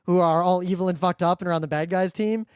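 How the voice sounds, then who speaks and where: A man's voice: sits high, steady tone, fast, clean tone, no room sound. Male podcast co-host, casual geeky chat, rambling enthusiastic explainer, dry studio-like sound.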